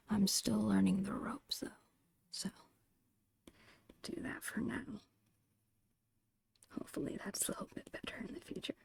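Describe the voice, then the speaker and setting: Breathy, whispering woman with heavy creak. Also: medium pitch, no room sound. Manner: soft, unhurried murmuring, thinking aloud. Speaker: ASMR digital art streamer. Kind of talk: ASMR, casual step-by-step painting commentary. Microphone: close microphone, dry.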